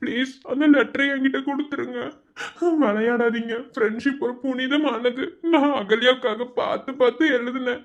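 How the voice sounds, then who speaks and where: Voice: mid-range voice, steady tone, normal speed, lo-fi sound, voice pushed up, close to an everyday voice. A performer doing a whiny, pleading college boy. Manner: whiny and pleading, begging "please". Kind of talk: comic sketch acting.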